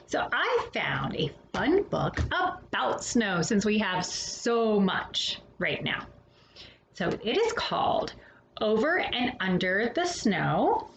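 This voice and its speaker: A woman hosting a storytime, reading a children's picture book expressively with dramatic pauses and casual asides. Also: mid-range voice, creaky. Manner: animated, dramatic pauses between phrases. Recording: roomy echo with faint background noise.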